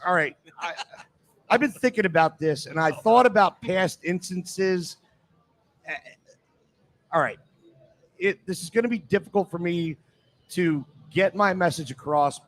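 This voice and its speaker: A man's voice: high-pitched, fairly bright tone. A man hosting a live sports talk show, speaking in an assertive, opinionated way and often jumping in.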